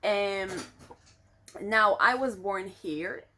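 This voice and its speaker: A woman in her twenties from Israel, a native Hebrew speaker with an Israeli accent, talking reflectively in a casual vlog and often pausing with fillers. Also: medium pitch, bright, forceful tone, a little hiss or hum. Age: 20s. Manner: reflective, searching for words with many fillers. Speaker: vlogger. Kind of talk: casual personal vlog monologue.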